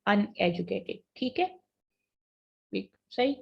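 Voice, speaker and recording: Female teacher, online lecture, gravelly voice, muffled lo-fi audio, reads definitions slowly. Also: mid-range voice, sing-song. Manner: explains in a mix of Hindi and English, reads slide definitions slowly. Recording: dull lo-fi online class audio.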